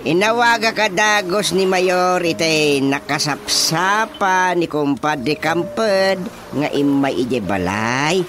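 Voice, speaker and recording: A man playing a scolding village chairman in a radio comedy drama, with a Filipino accent and drawn-out exclamations. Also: on the high side, expressive, a bit bright, a little hiss or hum. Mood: grumbling. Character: scolding village chairman confronting a goat thief.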